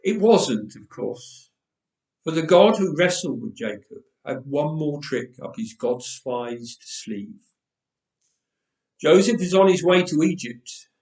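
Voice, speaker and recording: A man's voice: on the high side, far from the mic. Man storytelling dramatically in an echoey church. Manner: expressive, with dramatic pauses. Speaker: storyteller, reader. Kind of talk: dramatic read-aloud storytelling. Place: church, indoors.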